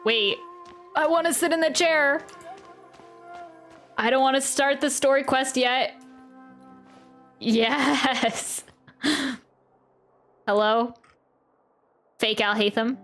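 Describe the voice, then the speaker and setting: A woman's voice: sits high, drawn-out words, pauses often, fairly bright tone. A woman doing a casual video game playthrough, reading game text aloud and adding wry asides. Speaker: game streamer. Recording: game music behind the voice. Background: video game music.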